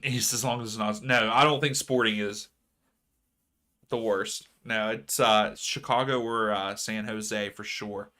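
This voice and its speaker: A man hosting a live soccer watchalong stream, chatting casually with viewers and ranting about fouls. His voice is going hoarse. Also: fairly low pitch, fairly even intonation, measured pace, pushed and bright. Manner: chatty, reads chat aloud, rants about fouls. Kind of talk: casual live match commentary.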